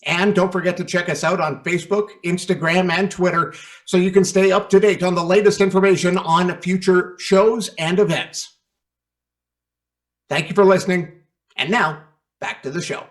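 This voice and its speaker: A man's voice: high voice, steady tone, normal speed. A man reading a scripted announcement as the announcer of a radio drama show. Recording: roomy, echoing sound.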